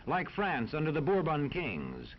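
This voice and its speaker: Animated American man narrating, vintage film sound. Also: on the high side. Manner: formal, authoritative, measured. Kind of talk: 1940s educational film narration, scripted. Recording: dull 1940s film soundtrack, faint noise.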